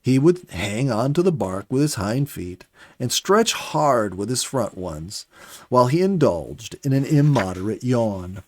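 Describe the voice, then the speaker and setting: Expressive male storyteller, very lively pitch. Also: unhurried, no room sound. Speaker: storyteller reading an animal story. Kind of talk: scripted narration, storybook reading.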